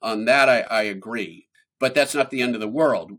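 A man speaking as a scientist guest in an interview, explaining in a measured way and stressing key points. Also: mid-range voice, fairly bright tone.

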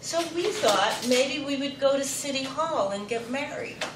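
A woman's voice: high-pitched, expressive, a little slow. An older woman telling a personal story to a live audience with wry, self-mocking humor. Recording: roomy, distant, noisy live recording.

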